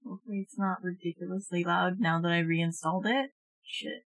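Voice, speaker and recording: A woman's voice: fairly low pitch, a little fast, dry recording, poor audio. A woman chatting casually and swearing freely as she live streams a game.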